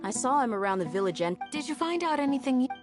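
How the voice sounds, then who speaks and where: A woman's voice: high-pitched, very animated, fast, over music. A woman playing a poised, friendly village guardian in a video game, composed and courteous. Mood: thoughtful.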